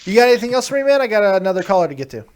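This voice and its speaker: A man's voice: sits high, very animated, racing, background noise. Brash joking call-in show host, man. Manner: loud, teasing, bursts of laughter. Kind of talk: crude joking call-in chat.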